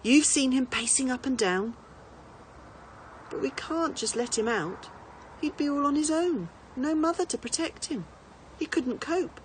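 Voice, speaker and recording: A gentle mother, put on by a woman reading a storybook, speaks in a raised pitch, coaxing and consoling. Her reasoning is soft-hearted, with noise in the recording. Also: lively intonation, a little slow, poor audio. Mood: consoling, anxious.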